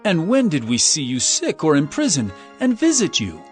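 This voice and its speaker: Man as humble puzzled believer, high-pitched. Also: wildly swooping pitch, moderate pace, faint music behind. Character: humble, puzzled righteous people questioning their Lord. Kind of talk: dramatized scripture reading.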